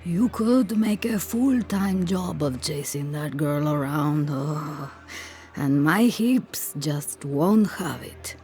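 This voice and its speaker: An actress playing a kindly older village woman in a video game cutscene, speaking gently and with wistful amusement. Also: low voice, lively intonation, slow, faint music behind. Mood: gently rueful.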